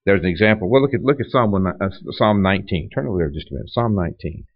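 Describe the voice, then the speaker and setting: A man's voice: deep voice, lively intonation, fast, no room sound. A man preaching a sermon in a conversational teaching style, often restarting his phrases. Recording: dull lo-fi dry recording.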